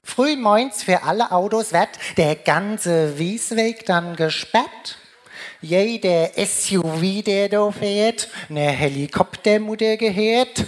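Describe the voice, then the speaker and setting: A woman's voice: mid-range voice, slow. A woman with a Rhine-Hessian German accent delivering a humorous carnival speech in dialect, declaimed in sing-song rhyming couplets. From Germany, Rheingau region. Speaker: carnival speaker.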